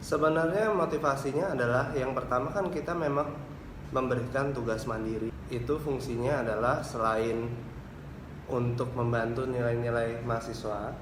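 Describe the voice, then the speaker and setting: Man, university lecturer, explanatory interview answers, echoey room, clear background noise. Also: medium pitch.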